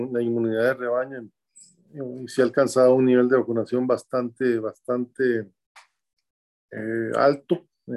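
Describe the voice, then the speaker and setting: A man in his sixties with a Costa Rican accent, answering interview questions from home in a measured, halting way, often pausing with fillers to find his words. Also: mid-range voice, a little mellow. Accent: Costa Rican Spanish. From Costa Rica. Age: 60s.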